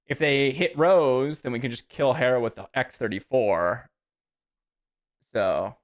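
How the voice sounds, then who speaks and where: Male streamer, thirties, relaxed and talkative, reacts to chat while planning moves aloud. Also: mid-range voice, measured pace. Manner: chatty, answers chat, thinks aloud about plays. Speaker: streamer playing a card game. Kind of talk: casual live-stream chat while playing.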